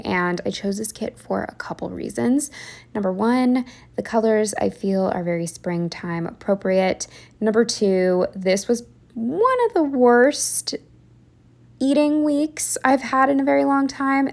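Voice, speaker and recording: A woman's voice: mid-range voice, creaky. Young female vlogger, tired late-night voiceover, chatty and self-deprecating. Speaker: planner vlogger. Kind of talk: casual voiceover narration.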